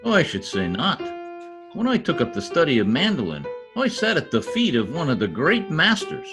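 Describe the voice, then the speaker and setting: A man's voice: a little high, wildly swooping pitch, normal speed, music in the background. A man playing a folksy, cocky, stubborn husband in an old-time radio comedy. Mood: breezy.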